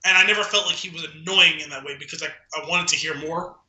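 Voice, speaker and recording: A man's voice: on the high side, quick pace, pushed and bright. A man co-hosting a podcast, giving his opinions in casual film discussion, recorded with an echoey, roomy sound. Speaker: podcast co-host.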